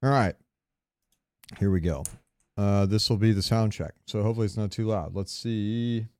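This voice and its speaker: A man's voice: on the low side, moderate pace, darkish tone, no echo, clean studio sound. A man co-hosting a podcast, chatting casually about video games and rambling as he searches for words. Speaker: podcast co-host.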